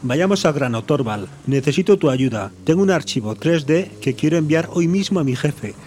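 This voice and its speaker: A man's voice: a little high, lively intonation, normal speed, a little mellow, close to an everyday voice. Exasperated straight man played by an actor, noisy background. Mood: purposeful. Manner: dry, exasperated. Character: exasperated, polite straight-man office worker, sharp with his foolish friend. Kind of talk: audio drama acting.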